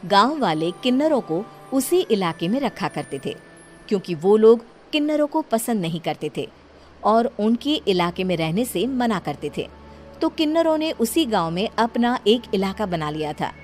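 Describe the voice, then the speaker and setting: A woman narrates a scripted tale in a storytelling delivery. Her voice sits at a middle pitch, with faint music playing behind her. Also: sing-song, normal speed. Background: background music.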